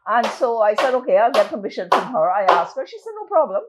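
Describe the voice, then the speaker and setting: A woman telling a story in an interview, lively and acting out the dialogue. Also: a little high, quick pace, very noisy. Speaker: playwright and producer. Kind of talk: interview anecdote.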